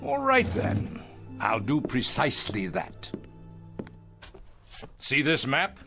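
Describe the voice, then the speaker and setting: An actor playing a ruthless self-made business tycoon in an audio drama, drawing out phrases with mocking relish. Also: male voice, medium pitch, huge pitch swings, takes it slowly, over music, full character voice. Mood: proud, boastful.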